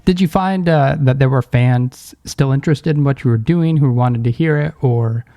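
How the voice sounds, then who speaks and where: A man's voice: mid-range voice, normal speed, dark tone, dry recording, faint music behind. A man hosting a podcast, asking casual interview questions in a tentative, meandering way.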